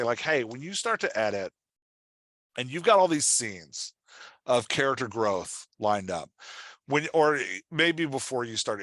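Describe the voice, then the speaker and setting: A man's voice: fairly low pitch, fairly bright tone, dry recording. A man teaching as a writing coach in an informal, conversational webinar style, explaining things and often checking in with his listeners.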